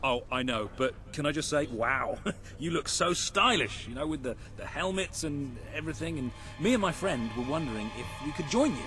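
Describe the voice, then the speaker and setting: An actor with a British accent playing a cocky, irreverent, wisecracking soldier in a fantasy video game, sardonic in manner. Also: male voice, a little high, normal speed, soft background music. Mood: mock flattering. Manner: sardonic, animated. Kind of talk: dramatic game dialogue.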